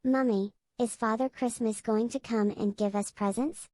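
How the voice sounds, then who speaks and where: Small girl of five played by a female performer: innocent, earnest, highish voice, audio drama, dry studio sound. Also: fairly even intonation, moderate pace, warm tone, no room sound, close to an everyday voice. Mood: curious, hopeful. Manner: simple, earnest childlike talk. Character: innocent, curious five-year-old English girl.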